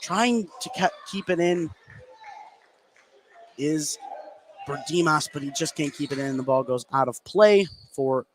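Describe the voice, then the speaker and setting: A man's voice: fairly high pitch, a little slow, long pauses, low-quality recording. A man doing live play-by-play commentary of a basketball game for radio. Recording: clear background gym noise. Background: gym ambience of a live game.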